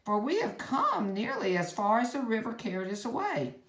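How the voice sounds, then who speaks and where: A woman's voice: a little high, big pitch swings, normal speed, a bit bright, pitched above the performer's own voice. A woman reading the lines of a friendly straw man from a storybook in her own voice. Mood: calm. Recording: echoey room.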